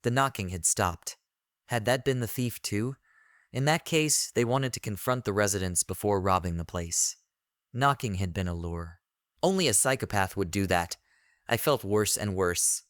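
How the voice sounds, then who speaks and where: A man reading a scripted first-person horror story in a deliberate, literary storytelling manner. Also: on the low side, animated, fairly bright tone, dry recording, clean studio sound. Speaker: narrator of horror stories.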